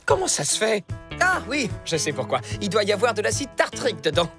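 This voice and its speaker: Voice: mid-range voice, big pitch swings, brisk, over music, close to an everyday voice. An actor voicing a good-natured but dim fox posing as a pet dog in a French cartoon, hesitant and puzzled. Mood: puzzled, earnest.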